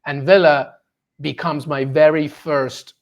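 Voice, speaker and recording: Man, forties, from Peru, interview guest, slightly high-pitched, animated, enthusiastic storytelling. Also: highs cut off. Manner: animated and enthusiastic, quick to laugh. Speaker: interview guest, sports journalist. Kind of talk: casual storytelling in a conversation.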